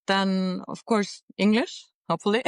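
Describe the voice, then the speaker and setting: A woman's voice: mid-range voice, a little slow, no room sound, clean studio sound. A young woman from Austria with an Austrian German accent, chatting reflectively as a podcast guest. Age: young adult. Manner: reflective, frequent "like" and soft laughs. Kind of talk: casual interview chat. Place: apartment on a high floor.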